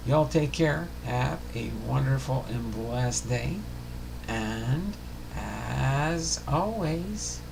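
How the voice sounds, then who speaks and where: A man's voice: mid-range voice, animated, very slow, creaky, background noise. A man hosting a casual livestream about sports cards, rambling and thinking aloud as he goes. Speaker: livestream host of a baseball card channel.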